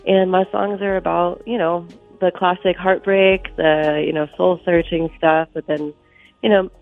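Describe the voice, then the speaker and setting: A soft-spoken woman with a stuffy cold, a musician talking as a guest on a live radio phone interview and filling her sentences with easy fillers. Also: fairly low pitch, flat delivery, measured pace, gravelly. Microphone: phone line. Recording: tinny phone line with faint music.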